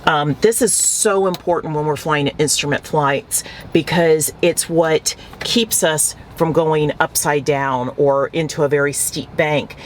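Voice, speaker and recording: A man's voice: on the high side, steady tone, a little slow, few pauses, a little hiss or hum. A man speaking as an aviation expert in a plain-spoken, explanatory interview.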